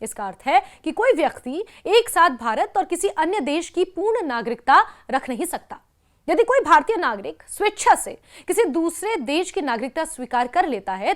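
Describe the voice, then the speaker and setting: A woman's voice: high voice, a bit bright. A woman with an Indian accent presenting a scripted news explainer in a didactic way.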